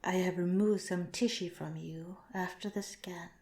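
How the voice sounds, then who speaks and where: A woman voicing a calm, clinical alien researcher in an ASMR roleplay, speaking softly with measured, formal politeness. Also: lowish voice. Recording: roomy, echoing sound.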